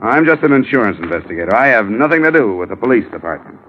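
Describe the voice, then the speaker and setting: An actor playing a tough, sardonic insurance investigator in an old-time radio drama, with an American accent and terse delivery. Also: male voice, fairly low pitch, big pitch swings, quick pace. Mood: cool, probing. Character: hard-boiled male insurance investigator, cool, tough and sardonic. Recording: muffled, hissy old radio recording.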